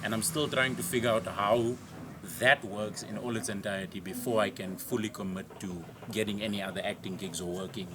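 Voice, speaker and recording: A man's voice: on the low side, steady tone. A young man, a stand-up comedian whose first language is Afrikaans, chatting casually as a podcast guest with playful jokes. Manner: joking, playful, quick comebacks. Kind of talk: casual chat. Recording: noisy background.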